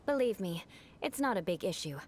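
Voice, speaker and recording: A woman's voice: mid-range voice, lively intonation, fairly quick, faint music behind, natural voice. A woman playing a proud young aristocratic knight, speaking in a formal, stately and dryly self-mocking way in video game dialogue. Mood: wry, resigned.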